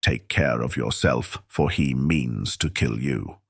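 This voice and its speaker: A man's voice: a bass voice, takes it slowly, creaky, slightly rough, no echo, clean studio sound, full character voice. A man playing an angry, commanding genie in a dramatized fairy tale audiobook, declaiming with indignation. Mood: grave warning.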